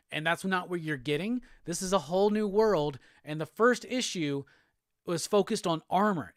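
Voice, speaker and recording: A man from Alabama with a Southern accent, hosting a casual live show and answering chat comments in a relaxed, rambling way. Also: high-pitched, no room sound, studio quality. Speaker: host.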